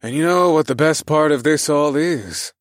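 A man's voice: mid-range voice, sing-song, brisk, no room sound, studio quality, close to an everyday voice. A man voicing a confident, teasing male lover in audiobook dialogue.

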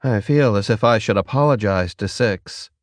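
A man voicing a dry, defiant captive female soldier in an audiobook, with a deadpan, sardonic manner. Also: lowish voice, normal speed, dry recording, natural voice. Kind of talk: audiobook character dialogue.

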